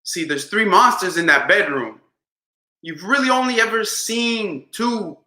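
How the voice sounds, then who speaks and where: High bright-voiced man performing slam poetry. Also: animated, unhurried, echoey room. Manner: rhythmic, dramatic delivery with shifting pace. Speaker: spoken word poet.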